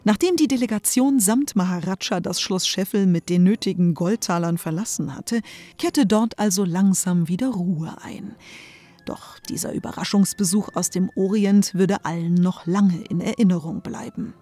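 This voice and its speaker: Mellow woman storyteller. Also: medium pitch, normal speed. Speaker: narrator. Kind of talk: scripted storybook narration.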